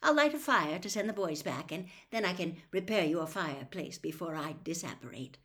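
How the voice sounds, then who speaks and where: A woman's voice: fairly low pitch, lively intonation, moderate pace, fairly bright tone. A woman voicing a kindly, muddled middle-aged wizard father in an audiobook, eager and apologetic. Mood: friendly, apologetic.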